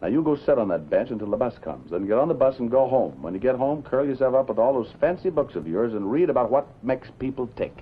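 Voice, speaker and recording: An actor with an American accent playing a wry, unafraid city newspaper reporter in a 1950s television drama. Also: male voice, medium pitch, very animated, fast talker, hardly any pauses, a little hiss or hum, natural voice. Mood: soothing, firm. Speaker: actor in lead role. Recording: dull 1950s TV soundtrack, music behind.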